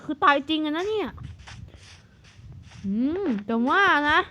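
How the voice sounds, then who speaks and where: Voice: high-pitched, animated, slow, pushed and bright. A gamer chatting casually through game role-play, talking like a bossy older sibling to a small child. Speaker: gaming video host. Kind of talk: casual Minecraft gameplay chat with role-play. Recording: clearly noisy home recording with clicks.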